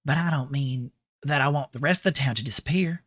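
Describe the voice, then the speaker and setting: A man's voice: mid-range voice, brisk, fairly bright tone, no echo, clean studio sound, natural voice. Man putting on a feminine Southern schoolgirl, lovesick. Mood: dreamy, yearning. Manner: feminine, drawling. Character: lovesick, self-centred Southern schoolgirl reading her notes.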